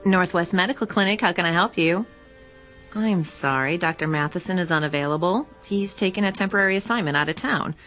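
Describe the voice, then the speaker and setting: A woman's voice: medium pitch, a bit bright, natural voice. An actress playing a courteous, discreet female clinic receptionist in a radio drama, with a polite professional manner. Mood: polite, helpful.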